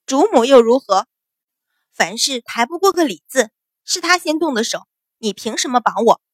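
A woman's voice: on the high side, very animated, a little slow, dry recording, clean studio sound. A woman voicing a furious, spoiled young concubine in an audiobook drama, screaming with defiance. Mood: indignant, defiant.

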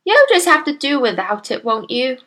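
A nagging, scornful storybook mother as a woman narrator acts her out. She scolds bossily in a high, husky voice that rises and falls sharply. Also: sing-song, normal speed, a bit bright, exaggerated voice. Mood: dismissive.

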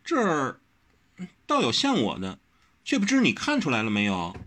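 A man's voice: medium pitch, huge pitch swings, normal speed, a bit bright, pitched above the performer's own voice. A man voicing a headstrong, pouty young swordswoman in an audiobook, whiny and insistent. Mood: pouting. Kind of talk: audiobook character reading.

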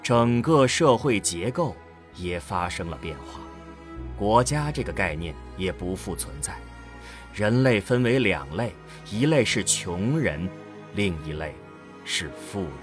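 A man's voice: fairly low pitch, sing-song, measured pace. A man gives dramatic scripted narration for a science fiction audio drama, in a storytelling style. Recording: background music under the voice. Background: music.

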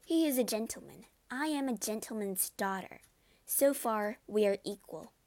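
A woman's voice: mid-range voice, animated, normal speed, a little mellow, dry recording, lightly acted. A woman voicing a spirited witty young woman. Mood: defiant.

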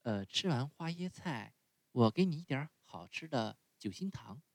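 A kindly little woman offers food in a soft, coaxing voice, as a man reading the tale puts her on. Her tone rises and falls gently, and the sound is dry and close. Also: medium pitch, big pitch swings, normal speed, vocal fry, no echo. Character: kind, gentle little woman, a fairy in disguise.

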